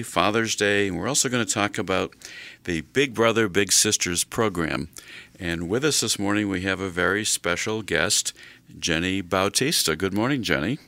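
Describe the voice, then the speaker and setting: An older man hosting a radio talk show, chatting slowly in a folksy way with many fillers. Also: low voice, gravelly, bright, forceful tone, no echo.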